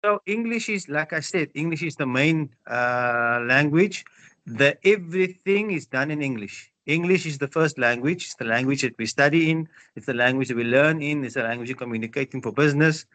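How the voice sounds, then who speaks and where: A middle-aged man from South Africa, answering interview questions in a measured, explanatory way and often repeating phrases. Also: on the high side, a bit bright.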